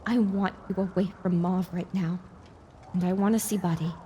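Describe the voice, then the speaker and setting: A woman's voice: on the low side, steady tone, moderate pace, warm tone, husky. A woman playing a stubborn young female pony in an audio drama, speaking in a terse, insistent manner. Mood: firm, demanding.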